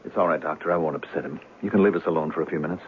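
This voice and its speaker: An actor playing a concerned, patient friend, gentle and coaxing, in a 1970s radio drama. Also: male voice, very deep voice, very fast. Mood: soothing. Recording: tinny, lightly noisy old radio recording.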